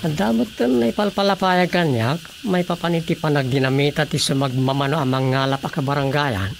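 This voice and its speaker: An actor playing an officious, assertive village councilor in an Ilocano radio drama comedy. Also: male voice, on the high side, a little fast, creaky, music in the background. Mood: determined. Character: officious male village councilor, determined and meddling.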